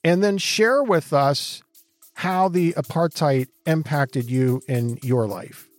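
Higher-voiced male host, faint music. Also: slow pace, no echo. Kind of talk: interview host.

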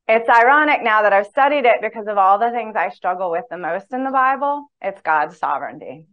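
A woman's voice: mid-range voice, fairly bright tone, highs cut off. Earnest woman teaching a Bible study. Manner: earnest, candid, reads long quotes aloud. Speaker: women's Bible study speaker. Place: church hall.

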